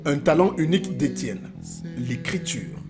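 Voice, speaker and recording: A man giving scripted narration for a documentary report in a measured, formal way. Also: a little high, animated. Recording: voice-over with music behind.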